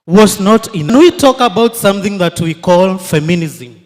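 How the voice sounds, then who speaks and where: A man's voice: high voice, expressive. A man, a historian on a panel, argues emphatically point by point before an audience in a large hall. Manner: emphatic, measured points, mixes in Swahili. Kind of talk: impassioned public debate speech. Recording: long hall reverb.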